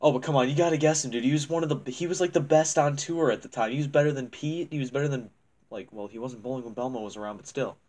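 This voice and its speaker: A young man talks casually with a friend in a small dim room, his voice caught by a webcam a few feet away. His pitch sits in the middle of the range, and he rambles, restarts sentences and laughs often. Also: quick pace, a little mellow. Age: young adult. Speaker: channel host. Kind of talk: casual chat.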